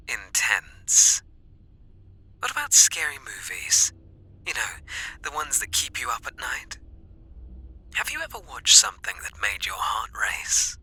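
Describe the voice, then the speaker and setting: A British man playing an obsessive, seductively playful masked killer, taunting in whispery, drawn-out questions close to the mic in an ASMR roleplay. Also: mid-range voice, sharp tone, breathy edge, full character voice. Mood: teasing, seductive. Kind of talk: ASMR roleplay, intimate monologue. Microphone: close microphone.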